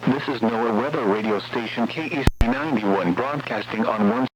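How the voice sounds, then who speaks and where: Male automated-style announcer for marine warning bulletins, mid-pitched and formal, on muffled radio with faint hum. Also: normal speed. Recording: muffled weather radio broadcast, noisy hum.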